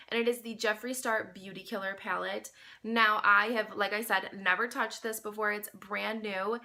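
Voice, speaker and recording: A woman's voice: medium pitch, pushed and bright. A young woman beauty vlogger with a slightly nasal, congested voice from a cold, chatting to viewers while doing her makeup. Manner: chatty, addresses viewers as you guys. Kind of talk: casual makeup tutorial chat.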